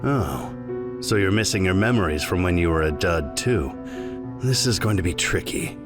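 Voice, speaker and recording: An actor playing a cold, detached research scientist in a video game, explaining things matter-of-factly. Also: male voice, low voice, lively intonation, normal speed, music in the background. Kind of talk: English video game cutscene dub.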